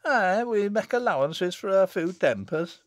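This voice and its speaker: Man playing a high-voiced Lancashire village lass. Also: animated, unhurried, no room sound, clean studio sound. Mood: blunt. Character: broad-backed village lass, blunt but easily cowed. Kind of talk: audiobook character acting.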